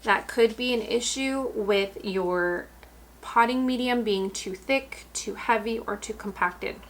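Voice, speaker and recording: A woman's voice: fairly high pitch, slow pace. Chatty woman losing her voice. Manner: chatty, relaxed, and explanatory, addressing viewers directly. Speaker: houseplant care channel host. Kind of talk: casual instructional talk.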